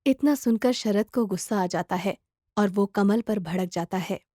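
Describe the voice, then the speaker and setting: A woman's voice: mid-range voice, normal speed, warm tone, dry recording, clean studio sound. A woman storyteller reading a scripted moral folk tale. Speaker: story narrator.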